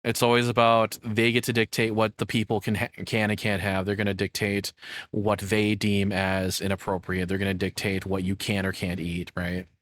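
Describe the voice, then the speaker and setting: A man's voice: on the low side, monotone, moderate pace, fairly bright tone, no room sound, studio quality. A man giving casual, off-the-cuff commentary as a reaction streamer, in an informal way that allows for swearing.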